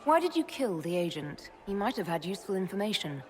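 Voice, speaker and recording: A woman's voice: medium pitch, big pitch swings, quick pace, natural voice. A woman playing a composed, dry-witted elf mage inquisitor in a fantasy video game, speaking directly. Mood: questioning, firm.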